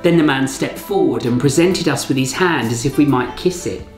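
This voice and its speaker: A man's voice: mid-range voice, moderate pace. An English man reading expressively from his own novel in a first-person storytelling style. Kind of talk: scripted first-person narration, audiobook reading. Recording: roomy echo, faint music behind.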